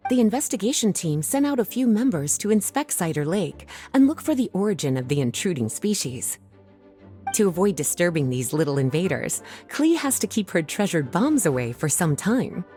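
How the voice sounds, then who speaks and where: A woman voicing a poised, indulgent librarian in a video game, velvety, articulate and gently teasing. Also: on the low side, very animated, normal speed, soft background music. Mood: measured.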